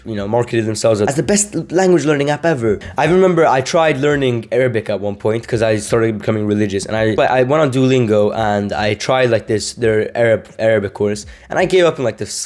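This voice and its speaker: A man's voice: mid-range voice, a little mellow. A young man from Sweden, a language-learning vlogger, talking casually to camera, rambling with many fillers and restarts.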